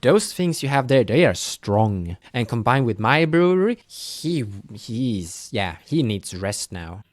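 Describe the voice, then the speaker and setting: A man's voice: medium pitch, sing-song, slow, few pauses, no room sound. Earnest village herbalist woman played by a man. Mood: concerned advice. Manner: earnest, instructive. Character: caring female village herbalist, earnest and advising.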